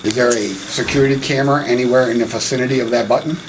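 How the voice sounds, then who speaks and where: A man's voice: medium pitch, fairly even intonation, very fast, slight background noise, poor audio. A man at a staff training, casually asking questions and chipping in. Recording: room with people heard behind.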